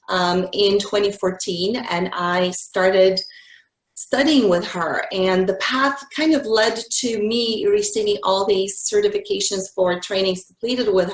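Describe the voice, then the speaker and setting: Reflective Bulgarian-born woman, roomy sound. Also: mid-range voice. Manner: reflective, with frequent "um" pauses. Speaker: guest. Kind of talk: conversational interview answers.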